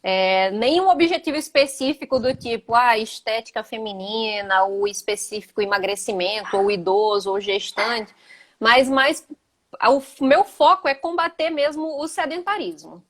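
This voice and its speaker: A woman's voice: a little high, normal speed, a bit bright. Woman with a Gaúcho lilt, chatty. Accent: Gaúcho (southern Brazilian) Portuguese. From Northeast Brazil. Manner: conversational, many "né" tags. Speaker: guest, physical education teacher. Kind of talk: casual live chat. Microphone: video call.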